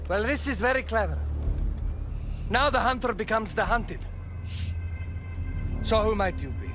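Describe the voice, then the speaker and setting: Villainous imperial officer, high-pitched, swooping theatrical delivery, mocking, menacing, loud music. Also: male voice. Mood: mocking, smug. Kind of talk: dramatic game cutscene acting.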